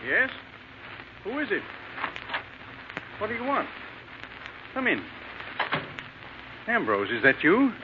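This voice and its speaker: A man's voice: sits high, wildly swooping pitch, takes it slowly, pauses often, exaggerated voice. An actor playing a troubled man's concerned, exasperated friend in a 1940s radio thriller, with a firm, urging manner. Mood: startled. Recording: scratchy, dull 1940s radio recording.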